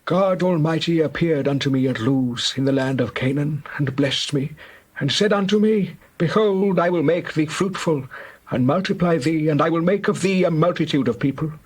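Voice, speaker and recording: A frail old Hebrew father near death, played by a man in an audio scripture drama. His voice is raspy and sits fairly high. He speaks gravely and deliberately, with solemn tenderness. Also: low-quality recording. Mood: solemn, reminiscing. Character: aged, dying Hebrew patriarch, solemn and tender.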